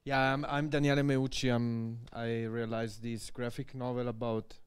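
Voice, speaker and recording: A man's voice: mid-range voice, level tone, normal speed. A man briefly introducing himself as a guest author, speaking shyly away from the microphone. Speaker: graphic novel author, guest.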